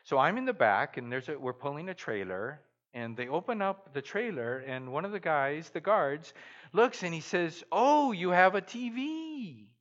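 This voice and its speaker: A man's voice: expressive, moderate pace, fairly bright tone, dull audio. A middle-aged man who grew up in Guatemala, preaching a sermon as a guest speaker and telling stories, acting out the people he quotes. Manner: storytelling, acts out quoted voices with big pitch jumps. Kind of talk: sermon with anecdotes.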